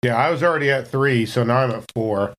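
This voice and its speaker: A man with a mid-pitched voice talks casually as a player at a game table. He hesitates as he thinks and has a joking way of putting things. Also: a little fast, fairly bright tone.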